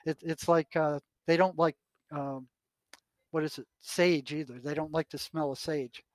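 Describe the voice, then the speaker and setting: An older American man in conversation, telling anecdotes and reading aloud from notes in a halting way, often repeating his words. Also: a little high, fairly even intonation, no echo. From United States. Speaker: retired psychotherapist, podcast guest.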